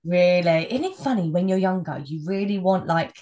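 A young woman co-hosting a podcast with a croaky, husky voice, chatting casually and laughing often. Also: on the low side, expressive, normal speed, dark tone. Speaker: podcast co-host.

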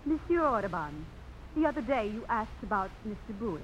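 A woman's voice: fairly high pitch, very animated, a little fast. A woman playing a vain, poised young society beauty in 1950s radio drama, speaking formally. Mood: poised, coy. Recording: muffled old radio broadcast, faint noise.

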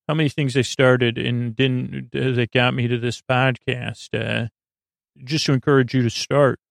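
A man hosting a bedtime podcast in a meandering, halting monologue that trails off into tangents. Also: mid-range voice, gravelly, no room sound, studio quality. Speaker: podcast host.

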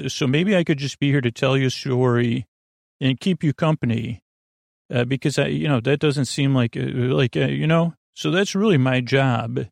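A man in his forties with a gravelly, creaky voice, hosting a sleep podcast in a meandering, halting monologue that trails off and circles back. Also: medium pitch, dry recording, studio quality. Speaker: podcast host. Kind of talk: rambling bedtime monologue to help listeners sleep.

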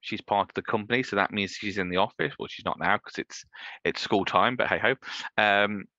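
A man's voice: on the low side, fairly even intonation, fairly quick, sharp tone, no room sound, muffled recording. A man in his thirties, a guest in an interview, talking casually in rambling sentences with many restarts. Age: 30s. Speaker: guest, entrepreneur.

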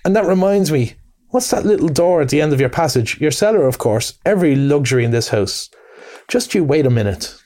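A man reading aloud voices a cheery, bustling male water rat who talks in a brisk, hearty, encouraging way. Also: on the high side, expressive, mellow, natural voice. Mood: teasing. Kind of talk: storybook reading.

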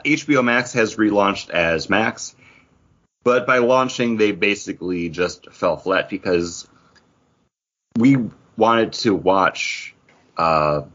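A man's voice: fairly low pitch, fairly bright tone, highs cut off. A man co-hosting a podcast, chatting casually in a conversational style. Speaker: podcast co-host. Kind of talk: casual pop culture podcast chat.

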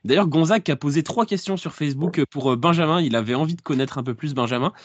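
A man's voice: fairly high pitch, fast, no room sound, highs cut off. A man hosting a podcast, reading out listener questions and teasing his co-hosts. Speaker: podcast host. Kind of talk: casual podcast chat.

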